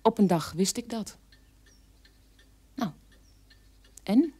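An actress playing a bitter adult daughter of a war criminal, recounting her childhood in an emphatic, halting radio play monologue. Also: mid-range voice, lively intonation, warm tone, slightly rough, heavily acted. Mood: resigned, questioning.

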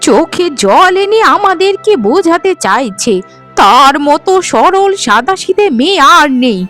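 A woman's voice: very high-pitched, very animated, a little slow, a bit bright, faint music behind, heavily acted. A woman playing a scornful, mocking older mother-in-law in a melodramatic cartoon, with a scolding, taunting delivery.

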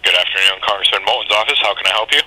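Man, office staffer, lower-pitched, quick, polite phone manner, clearly noisy line.

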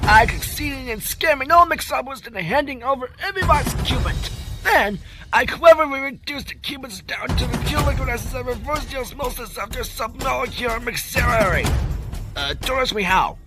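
A man voicing a pompous, scheming cartoon villain king in a theatrical, shouting manner with drawn-out words. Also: very high voice, lively intonation. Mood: gloating, pompous. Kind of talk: cartoon fan dub villain acting. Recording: music behind the voice.

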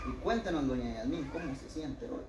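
A man's voice: fairly high pitch, expressive, fairly quick. A man with a Guatemalan accent, chatting casually as the host of a visit. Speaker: charity vlog host. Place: small bare-walled bedroom indoors. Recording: echoey, distant, noisy room.

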